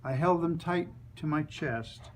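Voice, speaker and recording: Man from the United States, slightly high voice, dramatic storyteller, deliberate memoir reading, faint background noise. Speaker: author. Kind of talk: expressive memoir reading and personal reflection.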